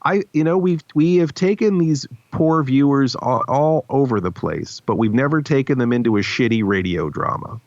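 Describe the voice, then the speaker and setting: Male podcast host, medium pitch, teasing and casual, close dry sound with dulled top end. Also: lively intonation, moderate pace, hardly any pauses, a little mellow, no room sound. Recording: slightly dull, dry recording.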